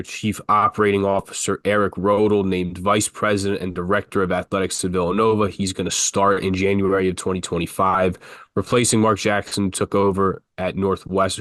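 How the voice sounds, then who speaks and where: A man with a fairly low voice chats casually as co-host of a basketball podcast. He rambles and restarts his sentences as he goes. The sound is dry, with no room echo. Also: a bit bright. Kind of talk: casual sports talk.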